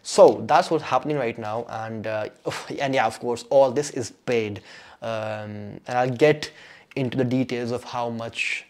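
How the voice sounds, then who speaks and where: A man's voice: mid-range voice, fairly even intonation, a little slow, a little mellow. A young man whose first language is Urdu, chatting casually through a rambling vlog update, full of fillers and restarts. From Pakistan. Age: young adult. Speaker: doctor and vlogger.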